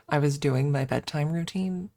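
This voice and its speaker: A woman streamer chatting casually in a soft, hesitant ASMR style with playful self-deprecation, close to the microphone. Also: low voice, vocal fry, no echo. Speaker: ASMR livestreamer. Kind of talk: ASMR, casual rambling chat.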